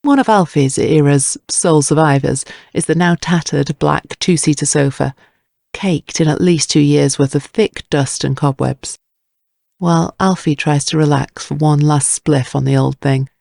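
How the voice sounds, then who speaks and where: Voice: mid-range voice, fairly even intonation, a little slow, vocal fry, darkish tone, no echo, clean studio sound. A narrator reading scripted dramatic prose for an audio drama.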